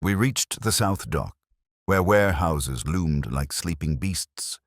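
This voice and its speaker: An extremely low-voiced man narrates a detective mystery like an audiobook. His delivery is measured, literary and dramatic, with lively swings in pitch and a dry, close sound. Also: dry recording. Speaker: narrator, storyteller.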